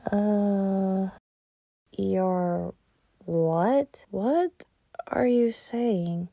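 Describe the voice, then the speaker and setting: A woman as a slow, hesitant little Vulpix. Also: mid-range voice, creaky, dark tone, dry recording. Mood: confused, distressed. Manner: hesitant, halting. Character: insecure, apologetic young female Vulpix, confused amnesiac. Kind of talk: animated comic dub acting.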